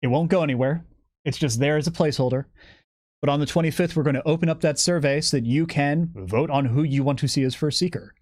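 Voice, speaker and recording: A man's voice: a little high, fast talker, darkish tone, no room sound, clean studio sound. A man speaking as a guest on a live stream, explaining things in a detailed, methodical way. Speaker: guest game developer giving campaign updates.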